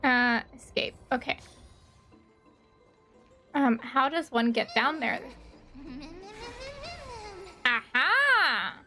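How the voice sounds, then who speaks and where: A woman's voice: high voice, very animated, extremely slow, pauses often, bright, forceful tone, faint music behind. A woman livestreamer giving casual, playful game commentary, thinking aloud, with slight noise behind her. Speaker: livestreaming gamer.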